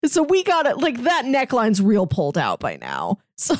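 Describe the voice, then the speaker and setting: A woman's voice: a little high, wildly swooping pitch, moderate pace, no room sound. Chatty woman in a dry, close recording. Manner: conversational, lightly amused. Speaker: podcast co-host reviewing films. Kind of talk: casual film discussion.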